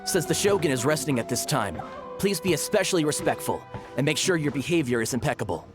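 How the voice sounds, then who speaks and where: A man's voice: a little high, expressive, fast, close to an everyday voice. A man playing a dutiful, officious palace guard in a video game, speaking formally. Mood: respectful, conceding. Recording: music playing behind.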